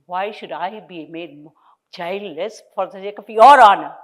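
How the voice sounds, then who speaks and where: A woman reads as a furious young wife in an audiobook, shouting venomous curses. She is loud and biting. Her lines carry open fury. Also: mid-range voice, measured pace, natural voice.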